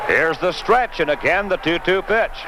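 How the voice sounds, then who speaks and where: A man's voice: sits high, animated, moderate pace, crowd noise. An American man calling baseball play-by-play live, as a game announcer on an archival broadcast. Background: ballpark background noise.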